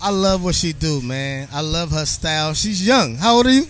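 A man hosting quick interviews at a barber competition, hyping up his guests with energy. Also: a little high, soft background music. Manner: hype, energetic, promotional. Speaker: event interviewer. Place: event backdrop at a barber competition. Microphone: handheld mic.

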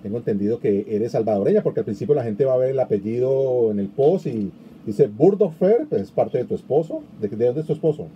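A man's voice: medium pitch, expressive, brisk, hardly any pauses, muffled recording, a little hiss or hum. A middle-aged man hosting a live online interview over a video call, chatting easily and reading out viewer comments. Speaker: interview host. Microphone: video call webcam.

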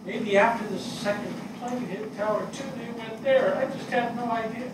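An older man playing a grieving, bewildered fire captain in a staged dramatic reading, speaking plainly and haltingly. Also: sits high, steady tone, far from the mic, close to an everyday voice. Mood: bewildered grief. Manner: plain-spoken, halting, searching. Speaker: actor in a staged play reading. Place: small indoor theatre stage before an audience. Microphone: distant camera mic. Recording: echoey stage picked up from afar, noisy.

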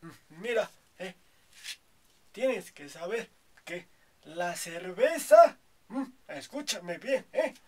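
A man's voice: high-pitched, lively intonation, takes it slowly, pauses often, darkish tone, heavily acted. A man playing a boastful, preachy old Mexican grandfather who rambles and keeps adding "hijo, ¿eh?", in a comedy sketch. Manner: rambling, tags nearly every phrase with "hijo, ¿eh?".

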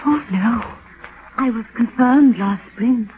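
A woman's voice: fairly high pitch, slow. A very tinny old radio recording with music behind it. A innocent young village girl, shy and anxious. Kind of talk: 1940s radio drama acting.

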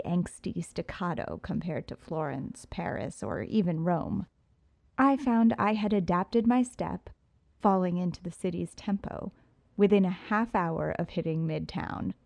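Woman narrator, first-person audiobook, poised and sultry, lowish voice, close and dry. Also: animated, measured pace, creaky, a little mellow, dry recording.

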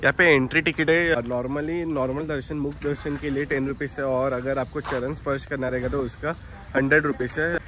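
A young man travel vlogger talks with a middle-pitched voice into a phone held at arm's length. He walks outdoors and chats like an excited tour guide, mixing in English words. Clear background music runs under his voice. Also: normal speed. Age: young adult. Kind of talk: casual travel vlog narration. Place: outdoors at a temple and a dam, and inside a temple hall.

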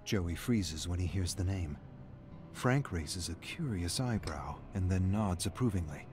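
A man reading a scripted story aloud as narrator, in a measured, dramatic storytelling manner. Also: sits low, lively intonation, over music.

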